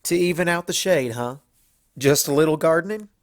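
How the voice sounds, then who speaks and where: A man's voice: on the high side, normal speed, dry recording, studio quality, pitched above the performer's own voice, natural voice. A man voicing a plainspoken, feisty young female farm pony with folksy, informal phrasing in a story reading. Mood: teasing, curious. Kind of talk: fan fiction character reading.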